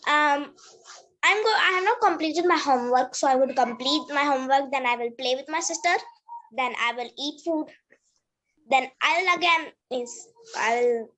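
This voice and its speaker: A young girl with an Indian English accent, a native Hindi speaker, practices English on a video call. Her voice is high, and she often pauses to find her words. Faint background noise comes through the call. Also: a bit bright, low-quality recording. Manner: hesitant, searching for words. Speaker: student. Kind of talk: conversation practice.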